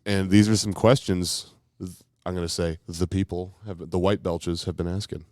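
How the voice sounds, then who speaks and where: A man co-hosting a podcast in casual banter, with a dry, teasing, deadpan humor. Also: deep voice, moderate pace, no echo. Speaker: podcast co-host.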